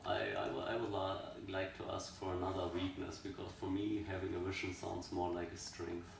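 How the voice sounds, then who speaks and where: A man asking an applicant a probing follow-up question in a measured way. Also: sits low, completely flat delivery, gravelly. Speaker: second interviewer. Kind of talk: interview questions. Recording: echoey room, distant mic, faint noise.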